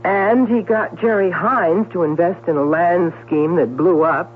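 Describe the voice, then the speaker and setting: A woman's voice: on the low side, measured pace, lightly acted. An actress playing a shrewd, self-possessed middle-aged newspaperwoman in a radio drama, speaking emphatically and articulately. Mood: brisk, sardonic. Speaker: actor. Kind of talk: 1970s radio drama acting. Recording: narrowband old radio broadcast with hum.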